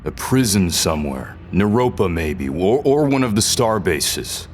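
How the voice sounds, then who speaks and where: An extremely low-voiced male prisoner, played by an actor, weary and disoriented yet stubbornly defiant. He talks slowly and haltingly, guarded in a scripted audio drama, over faint music. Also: very animated.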